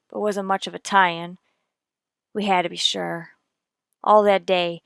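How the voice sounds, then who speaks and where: A woman's voice: lowish voice, vocal fry, a bit bright, clean tone, no echo, clean studio sound. A woman playing a no-nonsense, methodical police detective in a radio drama, clipped and matter-of-fact. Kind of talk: old-time radio police drama, scripted narration and dialogue.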